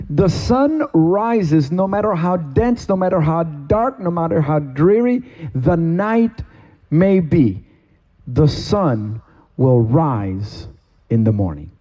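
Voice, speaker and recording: A middle-aged man from Cuba, a pastor giving a sermon testimony from a stage, with slow, deliberate, emphatic phrasing. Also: on the high side, mellow. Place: stage in a meeting hall.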